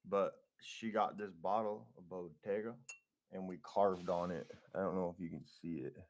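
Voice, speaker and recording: A man guesting on a music interview, talking excitedly and loosely with plenty of swearing. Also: low-pitched, normal speed, slight background noise. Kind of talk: casual interview chat.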